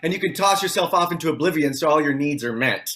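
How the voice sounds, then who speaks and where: Man acting a bright-voiced rig worker, pushing forty. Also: a little high, expressive, fast talker. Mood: teasing. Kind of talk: table read of a TV drama script.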